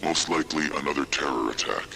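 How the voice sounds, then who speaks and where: An actor playing a secretive, cryptic hacker informant in a video game, speaking in a measured, formal way. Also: male voice, a bass voice, vocal fry, sharp tone, a little hiss or hum, heavily acted. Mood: cryptic, grave. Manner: deliberate, formal, cautioning. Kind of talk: video game cutscene acting.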